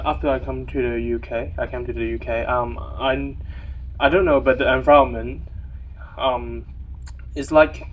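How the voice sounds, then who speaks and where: A young man from Hong Kong talks at a middle pitch, halting and filling his gaps with ums. He sits back from the mic, and the recording sounds dull and muffled. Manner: hesitant, many fillers, chuckles between phrases. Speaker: international student interviewee. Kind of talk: documentary interview. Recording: muffled, mic at some distance.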